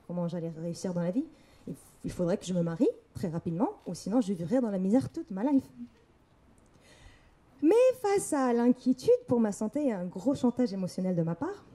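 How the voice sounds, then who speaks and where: A woman's voice: fairly high pitch, mellow. A young woman from Morocco giving a candid personal talk on stage, telling her story with laughter and quoted voices. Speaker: speaker. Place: event hall.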